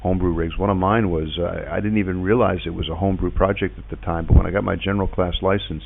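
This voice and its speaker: Phone-like, very deep, gravelly man's voice. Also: fairly even intonation, quick pace, a little hiss or hum. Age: middle-aged. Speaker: podcast host and ham radio operator. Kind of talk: casual radio chat, reminiscing. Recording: tinny phone-like internet radio link.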